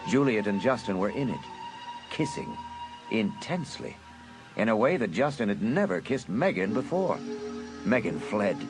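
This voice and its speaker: A man narrating a suspense audiobook in a dramatic, deliberate storytelling manner. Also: mid-range voice.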